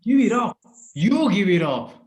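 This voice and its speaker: A man plays a young street thug in a drama read-through, high-pitched and echoing in a roomy space. He is cocky and belligerent, throwing out taunts in street slang. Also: big pitch swings, a little slow, a little mellow. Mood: cocky, threatening. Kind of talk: screenplay table read acting. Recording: roomy, echoing home recording.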